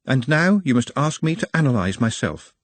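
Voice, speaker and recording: Man voicing a meek, husky, polite creature. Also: mid-range voice, big pitch swings, normal speed, no room sound, pitched above the performer's own voice. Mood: patient, weary. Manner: formal, precise, patient speech. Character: meek, polite, weary goblin-like male creature under hypnosis. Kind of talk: audiobook character acting.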